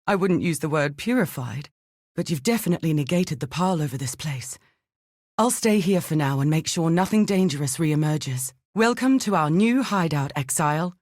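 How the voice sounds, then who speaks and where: An actress voicing a thoughtful, cautious, scholarly exile in a video game, speaking in a measured, articulate and earnest way. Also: female voice, on the low side, a little mellow, dry recording, studio quality. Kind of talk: scripted video game character dialogue.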